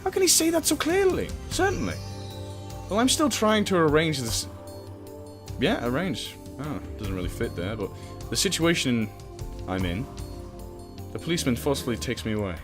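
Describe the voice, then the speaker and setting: A young man hosting a let's play, reading the game text aloud and riffing on it. His voice swings through a lively range at a middling pitch, with faint music underneath, as he swears, jokes and laughs at his own fumbles. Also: a little slow. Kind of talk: casual let's play narration.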